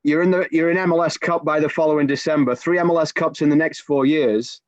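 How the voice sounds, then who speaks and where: Brief: man, forties, soccer commentator, animated storyteller, dry room, muffled webcam sound. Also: on the high side, quick pace, dry recording. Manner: animated anecdote telling with many restarts. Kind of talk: casual storytelling on a video-call podcast. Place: home room with bookshelves. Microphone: video call webcam. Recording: dull, dry video-call audio.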